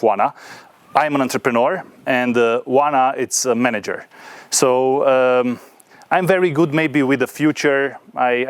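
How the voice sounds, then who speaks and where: Male, 30s, Romanian accent, mid-pitched, informal presenter with halting delivery, close dry sound. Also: no echo. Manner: hesitant, filler-heavy delivery with frequent pauses. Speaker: guest speaker, entrepreneur. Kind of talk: informal talk to students.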